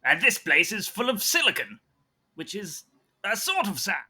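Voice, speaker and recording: A performer playing an excitable, pompous hospital doctor in a comedy audio drama, husky and melodramatic. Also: medium pitch, moderate pace, voice pushed up, exaggerated voice. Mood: excited, commanding. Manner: melodramatic, emphatic.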